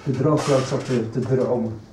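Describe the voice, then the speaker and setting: An actor playing an eager, cheeky teenage son in a Dutch radio soap. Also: male voice, medium pitch, fairly even intonation, moderate pace, close to an everyday voice. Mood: awkward. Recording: echoey, noisy, distant from microphone.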